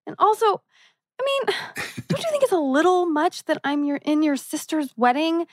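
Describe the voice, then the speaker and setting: High-voiced sassy teenage girl read by a woman. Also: animated, moderate pace, no echo, clean studio sound. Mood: fussy, indignant. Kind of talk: live table read of a TV drama script.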